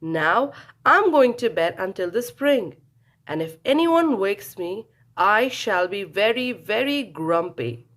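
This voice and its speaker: Woman putting on a loud, blustering grumpy badger. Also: medium pitch, lively intonation, takes it slowly. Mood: scornful, grumpy. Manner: blustering, spluttering, emphatic exclamations. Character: grumpy, scornful male badger who wants sleep.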